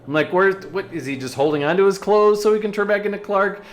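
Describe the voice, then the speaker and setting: A man hosting a reaction video, chatting casually and musingly in halting asides as he comments on a cartoon. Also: high-pitched.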